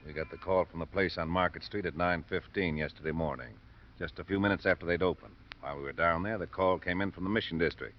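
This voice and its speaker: An actor playing a harried police lieutenant, weary, sardonic and grumbling, in 1950s radio drama style. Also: male voice, sits low, fast talker, lightly acted. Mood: harried, defensive. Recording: muffled, noisy old radio recording.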